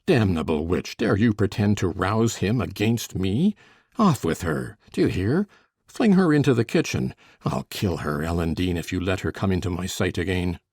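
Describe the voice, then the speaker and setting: A woman's voice: extremely low pitch, big pitch swings, normal speed, mellow, slightly rough, no room sound, clean studio sound, voice pushed down, full character voice. A woman voicing a menacing, tyrannical older man, the master of the house, in a savage, commanding manner for an audiobook. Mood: raging. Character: furious, menacing older male master, tyrannical father-in-law.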